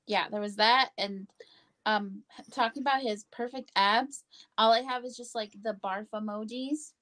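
A young woman talking casually about a book on a video call, in a halting, informal way full of "like". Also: mid-range voice, fairly bright tone. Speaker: guest co-discussant. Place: small indoor room with bookshelves.

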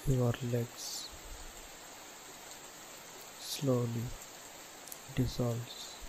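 A man guiding a meditation in a soothing, deliberate manner, speaking in short phrases with long pauses. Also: medium pitch, monotone, noisy background. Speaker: meditation guide. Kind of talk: guided meditation instruction.

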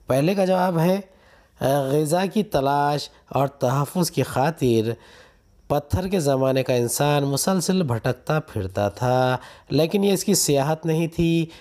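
A man with an Indian accent, a teacher reading textbook questions and answers aloud in a deliberate, instructional way with pauses between points. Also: a little high, animated, darkish tone.

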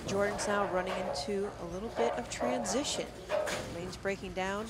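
A woman's voice: medium pitch, moderate pace, very noisy. Woman breaking down bowling technique. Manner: explains technique in detail, steady and instructive. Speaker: color commentator and technical analyst. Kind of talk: analytical sports commentary. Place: commentary booth at a bowling arena.

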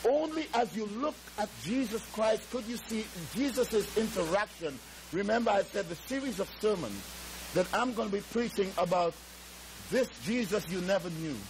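A high-pitched older man in his seventies, from Trinidad, delivering a sermon through a headset mic on a church stage. He draws out key words slowly and swings into shouted praise, moving about the pulpit as he preaches. Faint hiss sits under the recording. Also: expressive. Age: 70s. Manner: emphatic, drawn-out preaching with shouted exclamations; moves and gestures around the pulpit. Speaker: pastor. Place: church stage with drum kit.

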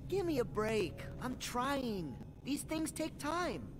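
A man's voice: very high-pitched, very animated, takes it slowly, background noise, heavily acted. An actor voicing an eager, impulsive teenage boy hero in a video game. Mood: frustrated. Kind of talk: animated video game cutscene. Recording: game audio with loud music behind.

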